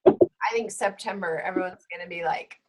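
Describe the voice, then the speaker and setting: Hoarse woman chatting casually. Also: on the low side, normal speed, very noisy. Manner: chatty, with frequent fillers and laughs. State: hoarse from being sick. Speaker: fitness coach and book club host. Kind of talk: casual book club chat.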